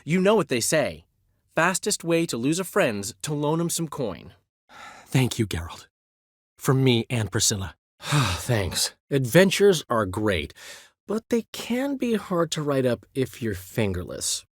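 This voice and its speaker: An actor playing a vain, witty male bard in a video game, speaking theatrically with sweeping swings in pitch. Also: sing-song, measured pace, no room sound, clean studio sound. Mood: grateful. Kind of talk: game voice acting.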